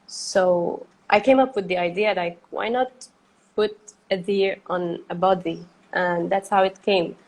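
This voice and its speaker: Soft-spoken woman with hesitant fillers. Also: lowish voice, fairly even intonation, unhurried, poor audio. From Egypt. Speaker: guest artist. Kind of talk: casual video-call interview.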